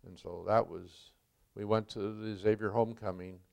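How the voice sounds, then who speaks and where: An older man from Milwaukee, a retired chemistry professor, recalling his life in an oral history interview, speaking slowly with many pauses and fillers. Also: lowish voice, steady tone, creaky, a little mellow. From Milwaukee, Wisconsin.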